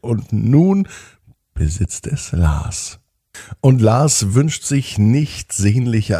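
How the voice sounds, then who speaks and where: Deep, slow, gravelly male bedtime storyteller. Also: no echo, clean studio sound. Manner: gentle, unhurried storytelling with dramatic pauses. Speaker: children's bedtime podcast storyteller. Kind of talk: bedtime story narration for children.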